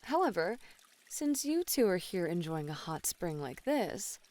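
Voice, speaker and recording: A woman playing a flirty, playful bunny woman in an ASMR roleplay, teasing and coy with soft sighs. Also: on the low side, big pitch swings, unhurried. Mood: friendly, teasing. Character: flirty, playful bunny woman, a model relaxing in a hot spring. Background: pouring, trickling water.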